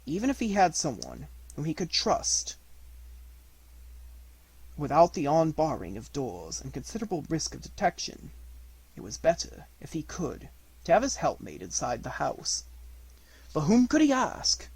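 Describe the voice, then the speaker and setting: A man giving an expressive, deliberate amateur audiobook reading of a classic detective story, as scripted narration. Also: on the high side, husky, no room sound, low-quality recording.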